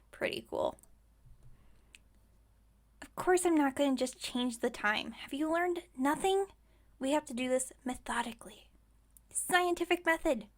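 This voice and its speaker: A woman playing a scatterbrained, overconfident witch shopkeeper in an ASMR roleplay, chatting breezily and musing aloud with great self-assurance. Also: sits high. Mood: excited curiosity. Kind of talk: ASMR roleplay audio drama.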